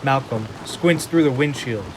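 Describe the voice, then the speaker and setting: A man narrating an audio drama from a script in a deliberate, suspenseful way. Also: medium pitch, background noise. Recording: loud rain and storm effects behind.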